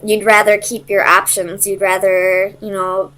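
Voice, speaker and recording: A woman's voice: medium pitch, level tone, measured pace, fairly bright tone, poor audio. A woman giving a casual, conversational tarot reading, hesitant as she thinks aloud and searches for her words. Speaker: tarot reader.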